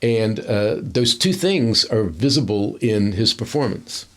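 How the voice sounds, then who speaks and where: A man's voice: on the low side, expressive, takes it slowly, fairly bright tone. A man giving analytical commentary on film acting in a conversational, explanatory way.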